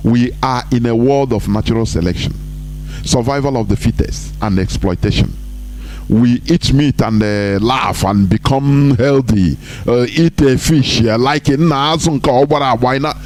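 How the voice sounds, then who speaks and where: A man hosting a live online broadcast, talking emphatically, rising high to stress points and dropping low for asides. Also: darkish tone, low-quality recording.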